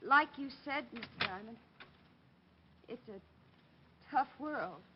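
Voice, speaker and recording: An actress playing an anxious, pleading young client in an old-time radio detective drama, hesitant and earnest. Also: female voice, fairly high pitch, lively intonation, slow pace, noisy background. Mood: disappointed. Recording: dull, lo-fi old radio recording.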